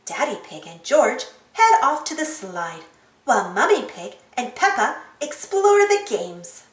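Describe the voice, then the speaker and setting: A woman reading a children's storybook aloud as an expressive narrator and host. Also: on the high side, measured pace, echoey room, distant mic. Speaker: storybook reader, channel host.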